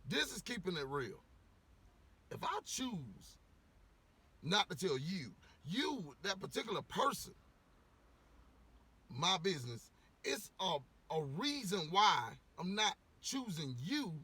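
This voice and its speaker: A man ranting casually to camera, a little hoarse from losing his voice, rambling with lots of "you know" and swearing bluntly. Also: high voice, sing-song, takes it slowly, pauses often, bright, forceful tone. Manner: rambling, repeats words, frequent "you know". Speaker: vlogger giving opinions.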